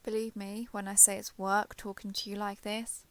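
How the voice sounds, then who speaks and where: A woman playing a shy, guarded former villain turned ally in an intimate romance audio roleplay, halting, defensive and awkwardly sincere. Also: mid-range voice, flat delivery, close to an everyday voice. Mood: grudging, defensive.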